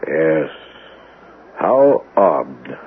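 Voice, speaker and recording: An actor playing a courteous, probing male doctor in a radio mystery drama, measured and soothing with deliberate hesitations. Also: extremely low pitch, big pitch swings, heavily acted. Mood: thoughtful, confiding. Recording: muffled, narrow-band, noisy old radio broadcast.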